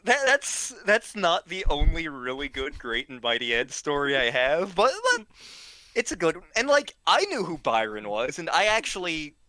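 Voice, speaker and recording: A man with a bright, slightly high voice tells funny stories in a casual, rambling way. His delivery is halting and giggly, unhurried overall and lively in pitch. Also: no echo. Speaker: storyteller, regular of the show. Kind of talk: casual humorous anecdote telling.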